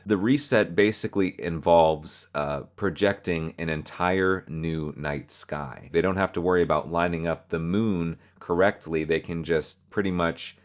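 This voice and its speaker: Guy with a really deep low voice doing video essay narration, explaining stuff. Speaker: video host explaining a horror series.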